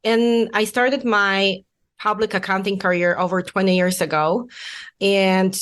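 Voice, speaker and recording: A woman in her forties from Poland with a Polish accent, a guest accountant in a podcast interview, explaining in a measured way with frequent pauses. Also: mid-range voice, steady tone, a bit bright.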